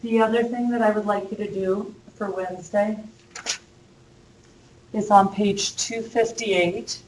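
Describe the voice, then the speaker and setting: A woman's voice: mid-range voice, steady tone, unhurried. A woman college instructor giving a casual, chatty lecture in a classroom while losing her voice. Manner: casual, chatty, uses fillers. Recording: echoey lecture room with faint hum.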